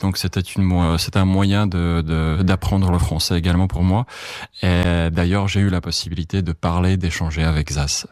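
A man with a deep, smooth voice and a Czech accent, recorded close and dry. He speaks as a correspondent being interviewed, recounting things casually. His delivery halts and circles back on words as he looks for the right one. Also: steady tone, darkish tone, no echo. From Czech Republic, Prague. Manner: halting, searching for words, repeats himself.

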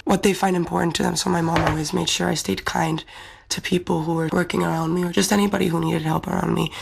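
A woman's voice: on the low side, flat delivery, fast, gravelly, noisy background. A young woman from the Czech Republic, a pageant winner answering interview questions in a thoughtful, earnest way. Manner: thoughtful, earnest, fluent English speaker.

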